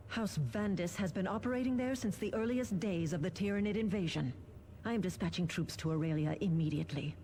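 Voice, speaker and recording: An actress playing a brisk, earnest governor giving formal, urgent military briefings in a video game. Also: female voice, on the low side, warm tone, a little hiss or hum, lightly acted.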